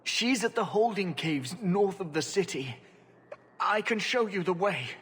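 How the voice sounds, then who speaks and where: A man's voice: high voice, expressive, measured pace, a bit bright, husky, exaggerated voice. An actor playing a terrified male slave hunter begging for his life, in a pleading, panicked way. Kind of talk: video game voice acting.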